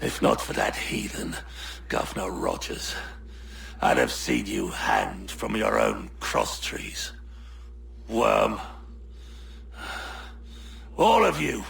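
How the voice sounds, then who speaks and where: An actor playing a haughty, venomous navy commodore, pompous and sneering in a video game cutscene. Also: male voice, low-pitched, wildly swooping pitch, slow, vocal fry, long pauses, a bit bright, a little hiss or hum, full character voice. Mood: venomous, dying.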